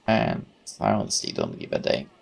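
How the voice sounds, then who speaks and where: Animated man narrating a game playthrough. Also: rumbling low, moderate pace, creaky, husky. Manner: expressive, chatty. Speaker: gaming YouTuber. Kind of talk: let's play, reading game text aloud with casual commentary. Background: guitar music.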